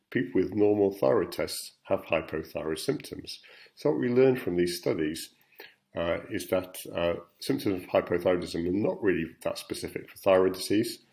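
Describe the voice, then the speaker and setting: A man's voice: low voice, vocal fry, darkish tone. A man, a professor, giving a recorded talk to patients about study results, explaining slides plainly with frequent ums and uhs. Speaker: endocrinology professor.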